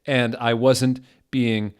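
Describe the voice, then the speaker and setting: A man playing an indignant, touchy teenage fan-fiction author, ranting loudly and petulantly with drawn-out words. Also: medium pitch, little pitch movement, smooth, voice pushed up, close to an everyday voice. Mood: furious.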